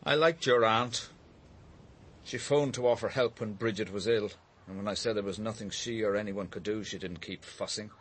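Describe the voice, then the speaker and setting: An actor playing a proud, aggrieved painter in a radio drama, blunt and surly in his delivery, with a husky voice. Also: lowish voice, steady tone, normal speed, a bit bright. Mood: bitter. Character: bitter, proud, aggrieved widowed painter, a father.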